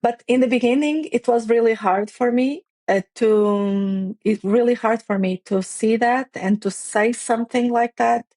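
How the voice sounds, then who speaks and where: A woman's voice: fairly high pitch, normal speed, hardly any pauses, warm tone, dry recording. Woman, dry close mic. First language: Portuguese. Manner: hesitant, many restarts and fillers, non-native phrasing. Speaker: guest, playwright and facilitator. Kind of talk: conversational interview.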